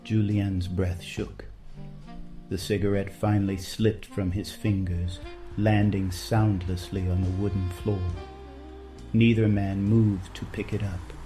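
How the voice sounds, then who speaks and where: A man narrating a literary romance in a measured, descriptive storytelling manner. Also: low-pitched, little pitch movement. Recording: faint music behind.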